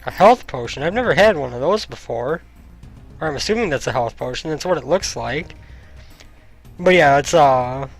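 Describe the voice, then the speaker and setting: A young man with a slightly raised pitch talks through his gameplay into a headset mic, rambling and thinking aloud with plenty of ums. His voice is a little hoarse, and faint game music plays underneath. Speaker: gaming YouTuber. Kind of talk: casual let's-play commentary. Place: home room, indoors.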